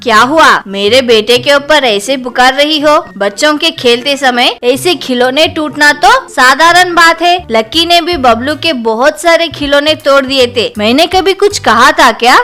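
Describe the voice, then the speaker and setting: A woman playing a combative, defensive young mother, indignant and accusing, in an animated comedy story. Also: sits high, unhurried, few pauses, soft background music.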